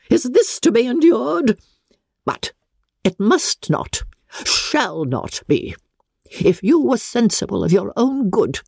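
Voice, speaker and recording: A woman's voice: fairly low pitch, mellow, slightly rough, no echo, studio quality, exaggerated voice. A woman reading a classic novel aloud, voicing an imperious, indignant older aristocratic lady with pompous, emphatic delivery. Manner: pompous, declamatory, emphatic, formal. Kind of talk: dramatic audiobook reading of classic literature.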